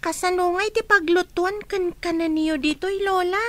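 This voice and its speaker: A woman voices a gentle young orphan in a radio drama, politely asking questions. The voice sits extremely high and thin. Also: steady tone, normal speed, no room sound. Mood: curious. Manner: polite, asks many questions.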